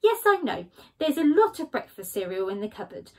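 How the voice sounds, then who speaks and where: A woman's voice: high voice, big pitch swings, a little fast, darkish tone. A woman voicing an earnest, fussy male skunk who chatters anxiously. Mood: chatty. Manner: worried, chattering, insistent.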